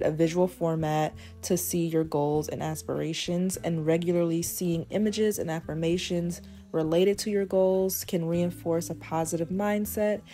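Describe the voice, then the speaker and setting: Hoarse young woman with a low, dark voice. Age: 20s. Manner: deliberately speaking in a lower register. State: losing her voice, hoarse. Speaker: journaling channel host. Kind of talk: casual talk over journaling.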